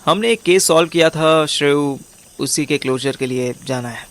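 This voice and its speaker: A man's voice: on the high side, normal speed, a bit bright. A man playing a bossy, irritable young detective boss in an audio drama, slangy and impatient, mixing in English words. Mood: explaining, assured. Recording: music under the voice.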